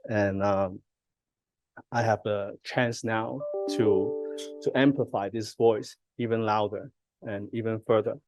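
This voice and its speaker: A man's voice: fairly low pitch, a little mellow, no echo. A man from Hong Kong gives a personal acceptance speech on stage, hesitant, self-deprecating and choked with emotion. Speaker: honored artist giving an acceptance speech. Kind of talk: halting, personal thank-you speech. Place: ceremony stage.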